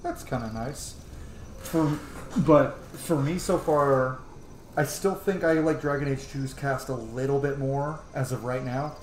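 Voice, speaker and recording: An echoey room with faint noise surrounds a man of average pitch. He gives casual, opinionated let's-play commentary and rambles conversationally. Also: measured pace. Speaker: video game streamer.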